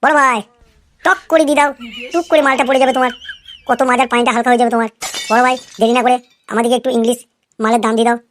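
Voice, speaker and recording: A man's voice: very high-pitched, steady tone, very fast, a bit bright, a little hiss or hum. A performer voicing a man, a foul-mouthed, fawning gangster henchman in a comic cartoon, rambling and boastful. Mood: gleeful, wheedling. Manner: rambling, boastful, wheedling, swearing constantly. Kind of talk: comic cartoon dialogue in crude slang.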